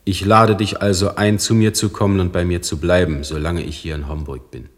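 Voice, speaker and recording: Deep, grave councillor, acted by a man. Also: steady tone, lightly acted. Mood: grave, formal. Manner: formal, measured. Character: grave government councillor, loyal friend turned dutiful official. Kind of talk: German radio drama acting.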